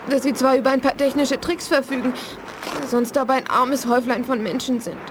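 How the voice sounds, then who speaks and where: An actress plays a nervous young woman spy in an eighties radio play. She sounds hesitant and defensive, fairly high in pitch, with clear noise behind her. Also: steady tone, fairly quick, natural voice. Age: young adult. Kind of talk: 1980s German radio play acting.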